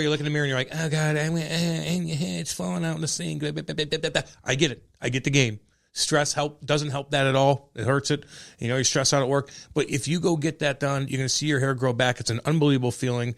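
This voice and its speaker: A man's voice: fairly high pitch, fairly even intonation, creaky. A man co-hosting a podcast, blunt and joking in casual banter. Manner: blunt, joking, quick-talking. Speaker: podcast co-host.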